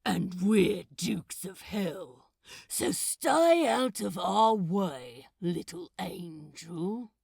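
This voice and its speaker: A woman's voice: medium pitch, expressive, gravelly, darkish tone, slightly rough, no echo, clean studio sound, voice pushed down, full character voice. A woman voicing a male demon bully in a storybook reading, drawling slangy threats with a sneer. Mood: threatening. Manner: slow, drawn-out, threatening, slangy.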